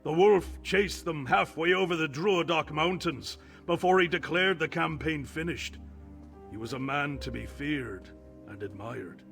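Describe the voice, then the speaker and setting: A man's voice: mid-range voice, vocal fry, a bit bright. An actor playing an earnest male guard commander in a video game, with background music. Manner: earnest, grave, soldierly. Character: male city guard commander, steady and loyal.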